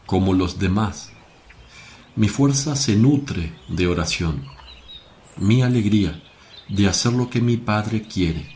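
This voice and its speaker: A man acts out a grave and gentle sorrowful teacher in a religious radio play. The voice is very low and slow, measured phrase by phrase, with faint birdsong behind it. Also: expressive, a little hiss or hum. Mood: solemn, sorrowful. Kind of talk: devotional radio play acting. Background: birdsong and outdoor ambience.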